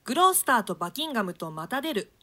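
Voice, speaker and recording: A woman's voice: on the high side, sing-song, fast talker, bright, forceful tone. A woman narrating the stage directions of a play in a scripted, dramatic reading style.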